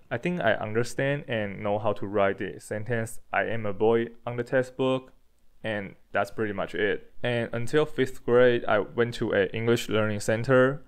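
Low, smooth-voiced young vlogger from Taiwan. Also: male voice. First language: Chinese and Taiwanese Hokkien. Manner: candid, blunt, with casual swearing. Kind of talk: casual personal vlog. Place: dim room with a desk monitor, indoors. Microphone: handheld furry-windscreen mic near the mouth.

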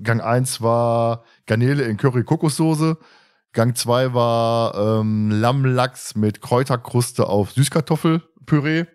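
A man's voice: medium pitch, darkish tone. Man chatting casually as podcast host.

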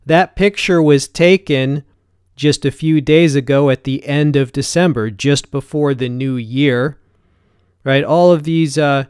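A man's voice: a little high, measured pace, darkish tone, clear, resonant tone, dry recording, studio quality. A man in his forties talking casually to camera from his home gym, blunt and conversational, often tagging his points with "right?". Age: 40s. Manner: blunt, conversational, frequent "right?" check-ins. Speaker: fitness YouTuber.

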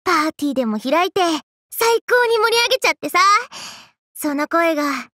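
A woman's voice: extremely high pitch, very animated, moderate pace, a bit bright, no room sound, clean studio sound, full character voice. A woman voicing a brash, playful, hyper young woman from an anime game, with cocky, slangy, teasing delivery. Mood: dreamy, upbeat. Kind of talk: Japanese anime game character voice lines.

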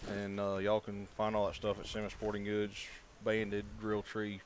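A man's voice: lowish voice, monotone, normal speed, slight background noise. A man hosting a podcast, telling stories and mimicking others in casual banter. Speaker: podcast host. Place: large sporting goods store, indoors.

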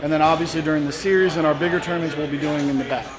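A man gives a matter-of-fact walkthrough of a big indoor poker room, his voice sitting high for a man. Loud player chatter fills the space behind him, picked up by a handheld camera a few feet away. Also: steady tone, rapid-fire. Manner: matter-of-fact, informative, with fillers. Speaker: poker room manager giving a tour. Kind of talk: explaining on a walking tour. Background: crowd chatter.

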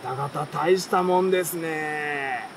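A man's voice: a little high, a little slow, far from the mic, close to an everyday voice. A man voicing an astonished, folksy, gushing birdcatcher from a storybook. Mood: amazed, gushing. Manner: gushing, repetitive exclamations, rustic wording. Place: outdoors at a campsite by a fire. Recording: steady outdoor campfire, insect and stream noise.

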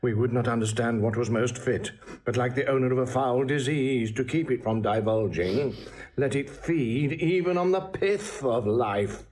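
A man's voice: mid-range voice, moderate pace, a little mellow, lightly acted. An actor playing an anxious, calculating king in a classical audio drama, grave and declamatory. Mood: troubled, grave. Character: anxious, calculating king, a man of stately authority. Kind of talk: classical Shakespearean radio acting.

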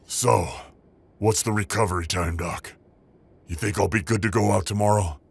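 A man playing a wounded, stubborn dragon-shifter henchman in an audio roleplay, gravelly, strained and cheekily sarcastic. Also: on the low side, normal speed. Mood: sheepish, weary.

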